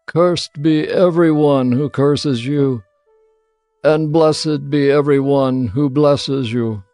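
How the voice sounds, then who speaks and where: An aged, frail patriarch in an audio Bible drama. He speaks very slowly and solemnly, in a somewhat high male voice. Music runs underneath. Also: dark tone, clear, resonant tone, no room sound. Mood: solemn blessing. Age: older. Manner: slow, deliberate, solemn delivery. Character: frail old father, solemn patriarch near death. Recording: music bed behind the voice.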